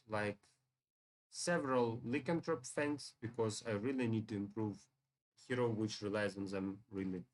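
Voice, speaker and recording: A man narrating a video game walkthrough in an informal way. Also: medium pitch. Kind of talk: game walkthrough, reading story dialogue aloud.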